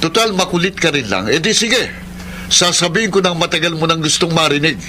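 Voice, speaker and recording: A man's voice: a little high, lively intonation, brisk, sharp tone, slight background noise. A male actor with a Filipino accent playing a weary, defiant young womanizer in a radio drama. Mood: exasperated. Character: weary, defiant young womanizer boyfriend narrating his love story. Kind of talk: Filipino radio drama acting and letter narration. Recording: music bed under voice.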